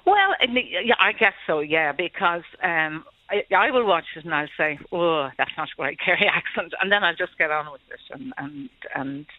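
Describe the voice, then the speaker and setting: A caller with a Dublin accent, chatting hesitantly on a radio phone-in with frequent restarts and little laughs. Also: male voice, on the high side, lively intonation, normal speed, no echo. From Ireland. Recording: tinny phone line, dry.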